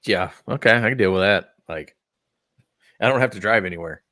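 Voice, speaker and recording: Male podcast host from Texas, low-ish voice, loose and rambling delivery, dry close sound. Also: fairly quick, pushed and bright, dry recording. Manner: rambling, many fillers and restarts. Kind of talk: casual chat about wrestling and gaming.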